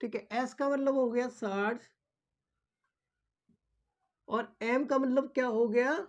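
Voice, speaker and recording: A woman's voice: fairly high pitch, fairly even intonation, takes it slowly, darkish tone, highs cut off. A woman instructor giving an informal explanatory lesson, repeating key points and answering her own questions.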